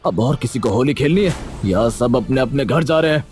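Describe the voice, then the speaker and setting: A man's voice: medium pitch, animated, normal speed, darkish tone, soft background music, lightly acted. A man playing an arrogant, angry old village headman in a cartoon story, bossy and scolding. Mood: furious. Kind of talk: Hindi cartoon story acting.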